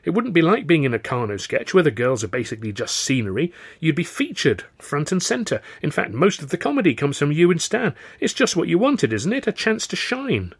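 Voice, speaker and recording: A man's voice: mid-range voice, fast, lightly acted. A man playing an eager, flustered music-hall comedian who pleads and persuades in an audiobook. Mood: excited, pleading. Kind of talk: audiobook character dialogue.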